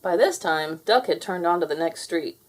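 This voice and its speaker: Animated, lower-voiced woman reading a storybook. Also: normal speed. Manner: expressive, theatrical storytelling. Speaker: children's storybook reader. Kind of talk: animated scripted read-aloud narration.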